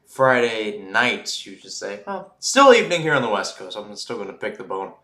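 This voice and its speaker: Male sports commentator, forceful bright voice, roomy echo, excitable play-by-play delivery, casual asides. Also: lowish voice, normal speed. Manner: excitable, calls surging plays loudly then drops back to casual asides. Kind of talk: live American football radio-style commentary.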